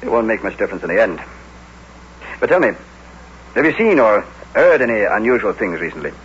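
A man's voice: lowish voice, sing-song, a bit bright. A man acting a courteous but insistent police inspector in an old radio drama, dry and sceptical as he questions people. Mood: probing. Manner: brisk, interrogating, dry, polite. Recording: dull old radio recording, faint noise.

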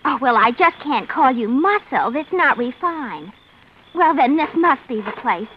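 A high, prim, naive radio dame. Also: female voice, very animated, measured pace. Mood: genteel. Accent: American. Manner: affectedly polite, genteel. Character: prim, naive, chirpy dame who fancies herself refined. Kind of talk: 1940s-50s radio comedy-adventure acting. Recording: dull old radio recording, faint noise.

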